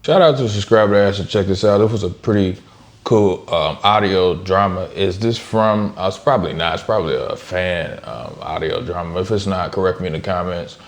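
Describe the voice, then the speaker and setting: A man with a very low voice gives casual commentary as a reaction co-host. He talks informally with slang, thinking aloud as he makes his points. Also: brisk. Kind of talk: casual chat, off-the-cuff commentary.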